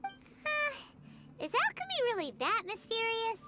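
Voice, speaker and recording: A tiny, cheeky fairy sidekick from an anime game. The voice is extremely high and childlike and swings eagerly up and down. Music plays prominently behind it. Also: female voice, sing-song, measured pace, exaggerated voice. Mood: curious. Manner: speaks of self in third person, exclaims eagerly. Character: small childlike floating fairy companion, female, excitable and cheeky. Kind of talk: anime video game dialogue.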